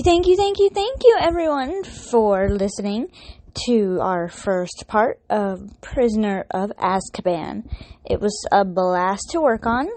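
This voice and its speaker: Slow, mellow woman signing off. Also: mid-range voice, no room sound. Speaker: audio drama producer signing off. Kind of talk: thank-you outro to listeners.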